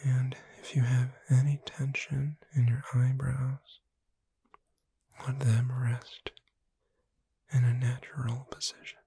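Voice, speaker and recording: A woman playing a gentle witch bookseller in a soothing, hushed, whispered ASMR roleplay, close to the microphone. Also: extremely low pitch, flat delivery, slow pace, long pauses, exaggerated voice. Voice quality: breathy whisper. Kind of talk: soft-spoken ASMR roleplay. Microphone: close microphone in a dry space.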